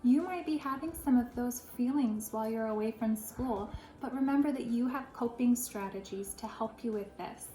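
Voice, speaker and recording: A woman, a first grade teacher, reads and talks to young children in an expressive, deliberate storytelling way. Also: on the high side, faint music behind. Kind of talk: picture-book read-aloud for children. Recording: roomy echo, clear background noise.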